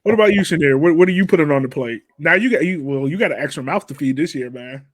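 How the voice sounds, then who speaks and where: A man's voice: fairly high pitch, fast, dry recording. A man hosting a casual sports podcast, chatting in a slangy, jokey way. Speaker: podcast host.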